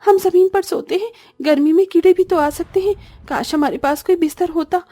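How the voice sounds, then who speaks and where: Woman voicing young orphan girl, high voice, childlike, plaintive, moral-story cartoon, dry sound. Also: fairly quick, warm tone, dry recording. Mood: frightened, plaintive. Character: poor orphaned young sisters, plaintive little girls and caring eldest. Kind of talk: acted dialogue for a Hindi moral story cartoon.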